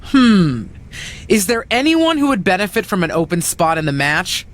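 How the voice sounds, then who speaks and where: A woman's voice: on the low side, big pitch swings, moderate pace. A woman playing a blunt, protective wrestling manager in a video game cutscene, speaking in a pointed, sardonic way. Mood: pointed, exasperated.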